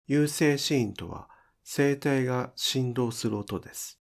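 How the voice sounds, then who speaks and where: A man's voice: mid-range voice, slow, vocal fry. A man giving scripted instructional narration as a pronunciation teacher, enunciating carefully step by step.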